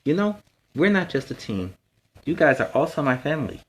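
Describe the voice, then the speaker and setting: A man's voice: fairly high pitch, big pitch swings, normal speed, voice pushed up, low-quality recording. A man voicing a determined, loyal warrior woman in a resolute storybook reading. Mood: affectionate. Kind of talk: storybook character dialogue.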